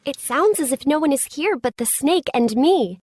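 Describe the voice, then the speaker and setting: A spoiled English girl of about ten in a storybook tale. The voice is high and very dry in sound. The manner is petulant, stubborn and bossy. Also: expressive, moderate pace, a little mellow, dry recording. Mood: wondering. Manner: demanding, petulant. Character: selfish, sour, stubborn ten-year-old English girl. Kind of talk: children's storybook acting.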